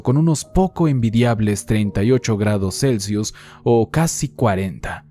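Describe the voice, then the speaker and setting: A man calmly narrating horror stories sent in by listeners, in a measured, somber reading. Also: mid-range voice. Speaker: horror story channel narrator. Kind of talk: scripted horror narration read from listener letters. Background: faint music.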